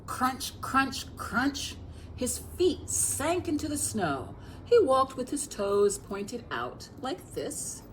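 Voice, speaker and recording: A woman's voice: high-pitched, sing-song, takes it slowly, a little hiss or hum, poor audio. An American woman reading a picture book aloud as a children's librarian, expressively, speaking directly to young listeners.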